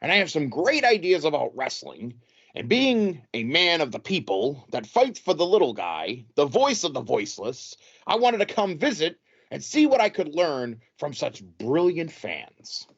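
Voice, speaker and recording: A man playing a slick, grandiose wrestling promoter with a husky voice in a comedic audio play. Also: a little high, big pitch swings, measured pace, dull audio, heavily acted. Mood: ingratiating.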